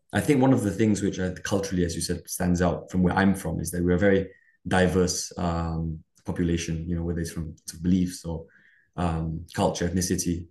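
A man's voice: low-pitched, warm tone. A man from Malaysian Borneo giving conversational interview answers, rambling and leaning on "you know" and "sort of". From Sarawak, Malaysian Borneo. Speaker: guest. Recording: roomy echo.

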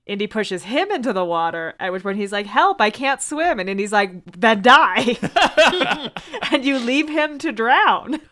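A woman's voice: on the high side, lively intonation, unhurried, a bit bright. A woman on a podcast casually retelling scenes from a book in a wry, blunt way. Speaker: podcast panelist.

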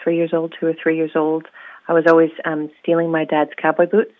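A woman's voice: low-pitched, monotone, a little fast. A woman from Oregon with an American accent slightly shifted by New Zealand, chatting bubbly as a musician guest, laughing often and using many fillers. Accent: American with a New Zealand tinge. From Oregon, USA. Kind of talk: casual interview chat. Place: at home in New Zealand, over a call. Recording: tinny phone line.

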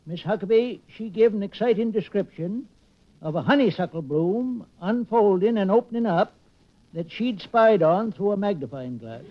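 An actor playing a plainspoken country farmer with a rural American accent in 1940s radio comedy, telling homespun stories deadpan. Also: male voice, high voice, normal speed, a little hiss or hum. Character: dry, countrified New England farmer, slow-witted and plainspoken. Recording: dull old-time radio recording.

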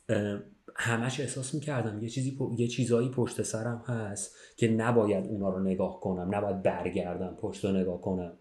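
A man's voice: on the low side, warm tone. A man telling a story casually in conversation, checking in with the listener as he goes. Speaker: podcast speaker telling a story. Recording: roomy, echoing sound.

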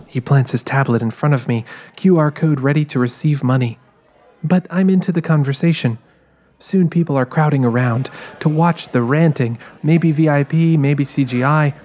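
A man's voice: fairly high pitch, moderate pace, darkish tone, natural voice. A man voicing a rueful, determined young woman who narrates her story in a confiding way. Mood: musing. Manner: musing, confiding. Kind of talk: first-person dramatized scripted narration.

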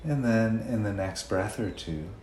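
A man leading a guided meditation in a gentle, unhurried way, leaving long pauses between phrases. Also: lowish voice. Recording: roomy echo, faint background noise.

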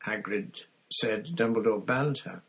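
A man's voice: on the low side, fairly even intonation, unhurried, fairly bright tone, slightly rough, low-quality recording. A man voicing a blunt, indignant teenage schoolboy sidekick, defensive and blurting.

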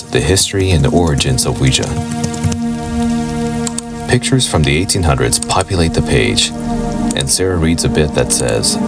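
A man's voice: extremely low pitch, creaky, exaggerated voice. A man narrating a supernatural radio play in scripted present tense. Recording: loud music and rain underneath.

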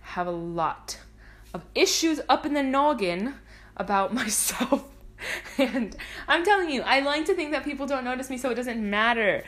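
A woman's voice: high-pitched, animated, moderate pace, fairly bright tone. A woman in her twenties whose first language is Spanish, hosting a solo podcast in a rambling, self-deprecating way, swearing freely and drifting into tangents. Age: 20s. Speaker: podcast host. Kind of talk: casual solo podcast monologue.

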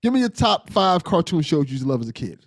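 A man's voice: a little high, big pitch swings, fast talker, no echo. An American man hosting a podcast in a casual, conversational style with a jokey, informal manner. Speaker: podcast host.

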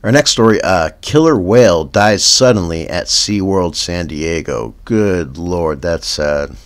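A man with a Canadian accent hosting a spoof news podcast, reading headlines deadpan and drifting into rambling stoner asides that trail off with "man.". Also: low voice, a little slow. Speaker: comedy news podcast host.

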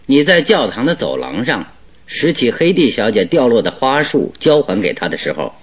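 A man acting a shrewd, jovial, self-assured English detective in a Mandarin radio drama, explaining his deductions expansively with a slightly husky voice. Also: medium pitch, big pitch swings, normal speed. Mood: modest, genial.

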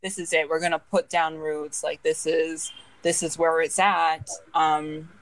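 Twenties woman on a podcast, kinda low voice, rambly, says like every other word. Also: flat delivery, a little slow, no room sound, low-quality recording. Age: 20s. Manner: rambling, leans heavily on "like". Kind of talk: casual chat.

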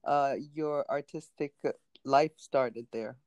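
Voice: medium pitch, steady tone, slow, a little mellow, clear, resonant tone, no echo. Interviewer with short affirming replies, dry sound. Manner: brief affirming backchannels, friendly questions. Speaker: interviewer, podcast host. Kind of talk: casual interview.

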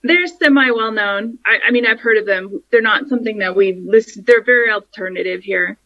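An American woman, a guest on a podcast, chatting warmly and with enthusiasm about her home bar. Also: mid-range voice, fairly quick, highs cut off. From United States. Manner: warm, enthusiastic and gushing. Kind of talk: casual interview chat.

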